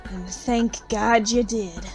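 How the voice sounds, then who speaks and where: A woman's voice: medium pitch, fairly even intonation, slow, music in the background. Woman acting a blunt, jaded country widow. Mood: shaken, earnest. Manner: plain-spoken, colloquial, bitter. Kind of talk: audio drama acting.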